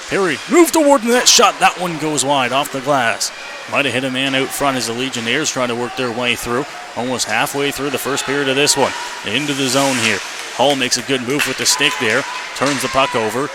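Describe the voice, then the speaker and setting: A man doing live radio play-by-play of an ice hockey game in clipped, running phrases, as the announcer. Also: medium pitch. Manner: clipped running phrases, rising to excited calls on shots. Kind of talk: live radio sports commentary. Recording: noisy live arena radio feed.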